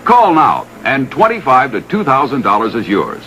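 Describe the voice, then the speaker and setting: A man's voice: medium pitch, huge pitch swings, normal speed, pushed and bright, low-quality recording. An American man reading a scripted 1960s radio commercial with an emphatic, salesy delivery. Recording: old radio broadcast with faint noise.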